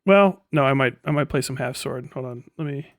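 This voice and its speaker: A man's voice: mid-range voice, brisk, dry recording. A man hosting a casual livestream, chatting with his viewers in a rambling, profane way. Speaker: livestream host. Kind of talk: casual livestream chat with chat replies. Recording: music behind the voice. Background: guitar playing and music.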